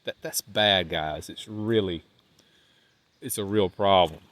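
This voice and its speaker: A man's voice: sits low, slow. Nasal, congested man vlogging outdoors. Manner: rambling, easygoing, trails off quietly. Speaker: van life vlogger. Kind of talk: casual vlog talk while walking. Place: outdoors by a riverbank park.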